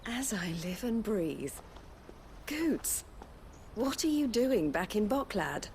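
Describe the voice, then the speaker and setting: An actress playing a shrewd, haughty merchant in a video game, with a wry, businesslike manner. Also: female voice, medium pitch, sing-song, slow pace, a little mellow, slight background noise. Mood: exasperated. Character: brisk, shrewd, haughty female caravan owner.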